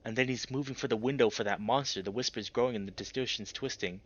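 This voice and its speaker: A man narrating a visual novel aloud as a let's player, dramatic and expressive, swearing freely in casual reactions. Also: mid-range voice, fast, dull audio. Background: music.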